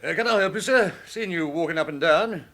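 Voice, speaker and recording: A man's voice: a little high, brisk, a bit bright. An actor playing a deferential railway porter in a radio drama, helpful and colloquial in his talk. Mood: obliging. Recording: noisy old radio drama recording.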